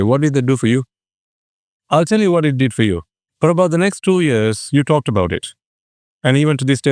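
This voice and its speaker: Sri male dharma teacher, mid pitch, questioning, dry close recording. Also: a little mellow, no echo. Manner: rhetorical questions to the audience. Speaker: Buddhist teacher. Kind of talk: dharma talk.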